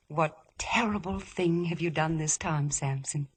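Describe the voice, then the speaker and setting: An actress playing a gentle, lovelorn young village woman in a 1950s radio drama, speaking earnestly. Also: sits low, unhurried, a little hiss or hum. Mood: gentle concern. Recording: vintage 1950s radio broadcast.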